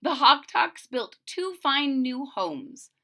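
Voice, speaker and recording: A woman storyteller reading a children's picture book aloud expressively, pausing to recap it in simple words. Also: sits high, big pitch swings, bright, forceful tone.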